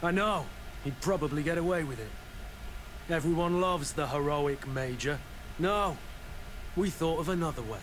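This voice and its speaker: A man acting as a loyal mercenary soldier in a video game, bent on justice. His voice is fairly high for a man, and he talks in a grave, plain way over faint noise. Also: normal speed, long pauses, close to an everyday voice. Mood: grim.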